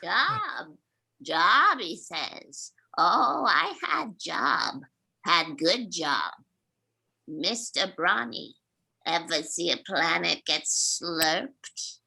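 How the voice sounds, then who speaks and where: A woman's voice: lowish voice, sing-song, drawn-out words, gravelly, bright, forceful tone, husky, exaggerated voice, rough recording. A woman playing a scrappy old rat alien grandma in a comic sci-fi script read, speaking in clipped, folksy broken English. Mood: indignant.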